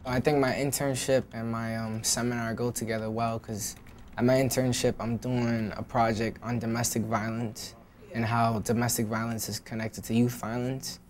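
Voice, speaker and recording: Young man, participant, earnest, flat, mid pitch. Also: normal speed, slight background noise. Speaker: program participant.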